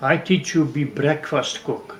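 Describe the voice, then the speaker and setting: An older man from Wales telling stories from his life casually, haltingly, repeating words as he recalls them. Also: fairly high pitch. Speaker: father telling family stories. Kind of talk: casual storytelling, reminiscing. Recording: roomy, echoey home recording.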